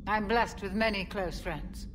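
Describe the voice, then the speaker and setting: A woman playing a composed, caring veteran military ship's doctor in a video game, speaking sincerely and in a measured way. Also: medium pitch, lightly acted. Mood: wistful, fond. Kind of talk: video game cutscene dialogue. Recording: clear background hum.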